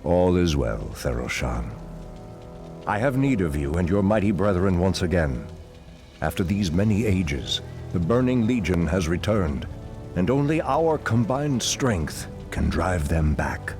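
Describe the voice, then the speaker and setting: An actor playing a stern, ancient night elf druid leader, grave and commanding, in a video game cutscene. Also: male voice, deep voice, sing-song, unhurried. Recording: music behind.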